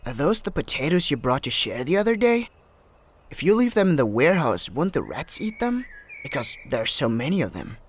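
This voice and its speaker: A man's voice: fairly high pitch, very animated, fairly quick. An actor playing a blunt, skeptical young boy in an anime-style dub, with a questioning way of talking. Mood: curious, teasing.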